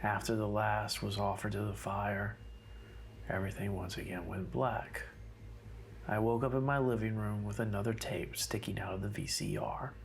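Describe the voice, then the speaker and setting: A man playing a guarded investigator who infiltrated a cult, narrating his account in a measured, somber, diary-like way. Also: fairly low pitch, fairly even intonation, vocal fry, slight background noise. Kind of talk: scripted horror narration, diary-like. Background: music.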